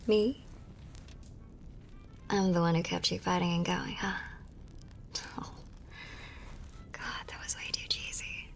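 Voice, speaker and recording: A woman playing a tender, teasing young war nurse and sweetheart in an intimate ASMR romance roleplay, murmuring softly and breathily. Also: on the low side, fairly even intonation, slow pace, pauses often. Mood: teasing, fond. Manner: soft, breathy, affectionate murmuring.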